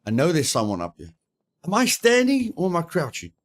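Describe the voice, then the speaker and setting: A man's voice: fairly high pitch, wildly swooping pitch, fairly quick. A man streaming a survival game, giving live commentary, repeating his phrases and yelping with mild exclamations when startled.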